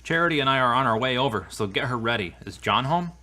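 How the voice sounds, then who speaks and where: A man's voice: mid-range voice, animated, quick pace, bright, forceful tone. An actor playing an earnest, devout man in a radio drama, speaking plainly and sincerely. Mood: wry, casual. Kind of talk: scripted radio-play dialogue.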